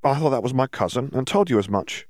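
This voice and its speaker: A man's voice: mid-range voice, expressive, fast, a little mellow, dry recording, studio quality, voice pushed up, natural voice. A man voicing a sly, scoffing teenage schoolboy with a guarded, teasing manner in an audiobook story. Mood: defensive.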